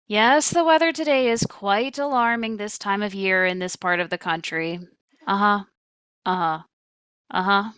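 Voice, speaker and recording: An actress playing a polite, dryly efficient receptionist at a manor in an audio play, with a measured, courteous manner. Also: female voice, a little high, fairly bright tone, clear, resonant tone, no room sound. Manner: measured, courteous phone-desk delivery.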